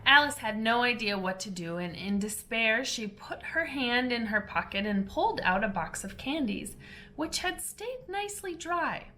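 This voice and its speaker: A woman reading a children's audiobook in an expressive, deliberate storybook narration style. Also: a little high, slight background noise.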